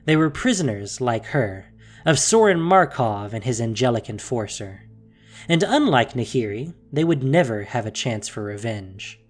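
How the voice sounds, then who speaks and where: A narrator reading a scripted fantasy story in a measured, dramatic way. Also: mid-range voice, sing-song, faint music behind.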